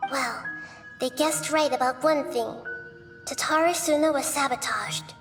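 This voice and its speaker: A woman playing a small, wise child goddess in a video game, speaking in a soft, girlish, measured way. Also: high voice. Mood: thoughtful. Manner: measured, gently knowing. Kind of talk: video game character acting. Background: faint background music.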